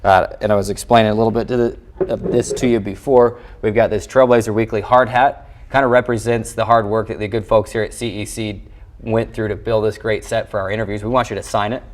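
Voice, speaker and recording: A man's voice: fairly low pitch, level tone, brisk, a little hiss or hum. An American man hosting a sports show, interviewing and presenting in a broadcast style. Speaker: sports show host and play-by-play voice.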